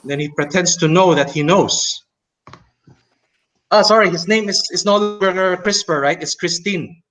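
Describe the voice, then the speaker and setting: A man with a Chinese and Tagalog accent, talking casually on a podcast and pausing often with fillers and restarts. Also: high-pitched, fairly bright tone, rough recording. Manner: hesitant, many fillers and restarts, mocking laughs. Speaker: co-host.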